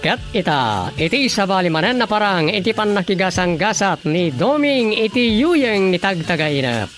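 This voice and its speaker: Animated Filipino radio drama announcer, male. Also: high-pitched, normal speed, music in the background. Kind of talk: dramatic scripted radio programme intro.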